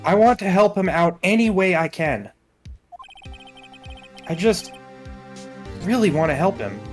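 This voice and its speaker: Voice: mid-range voice, expressive, unhurried, faint music behind, pitched above the performer's own voice, close to an everyday voice. A performer voicing a nervous, earnest young male rookie lawyer in a video game, hesitant and stammering.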